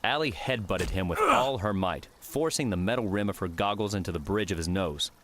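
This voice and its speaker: A man's voice: low-pitched, big pitch swings, a little fast, a bit bright, a little hiss or hum. A man reading scripted fiction as an audiobook narrator.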